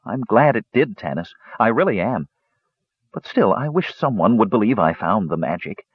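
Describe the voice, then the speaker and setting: A man's voice: fairly low pitch, big pitch swings, normal speed, breathy edge, no room sound. A man voicing an earnest, mischievous young male kender who talks eagerly and pleads to be believed. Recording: dull dry recording.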